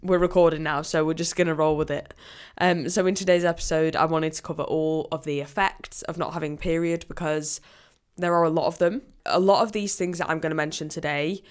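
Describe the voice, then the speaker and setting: A woman hosts her own podcast, voice sitting low and a little croaky after being ill. She chats on her own in a loose, rambling way, full of little fillers. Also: fairly even intonation, fairly quick. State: croaky from a recent illness. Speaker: podcast host. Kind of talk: solo health podcast talk.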